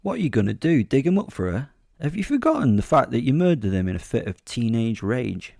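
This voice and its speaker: A man's voice: medium pitch, very animated, fairly quick, creaky, a little mellow, no room sound, pitched above the performer's own voice. A man voicing a sneering, bloodthirsty werewolf creature, impatient and ranting, in a horror audio drama. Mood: taunting. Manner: taunting, ranting, foul-mouthed.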